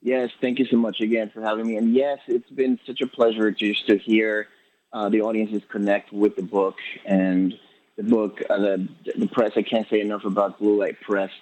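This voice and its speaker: Quick-talking man from Ecuador, halting. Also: on the low side, level tone, darkish tone, poor audio. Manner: quick, halting, many restarts and fillers. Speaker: poet guest. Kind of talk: casual interview answers.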